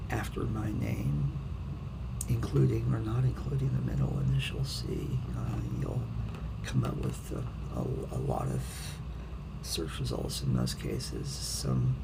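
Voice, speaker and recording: A man with an extremely creaky, gravelly voice speaks as a poet addressing his audience. His delivery is slow and his pitch moves around widely. The amateur recording carries clear background noise. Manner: hesitant, self-deprecating when talking to viewers. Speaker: author reading his own poetry. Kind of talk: dramatic poetry reading, then casual rambling talk to viewers.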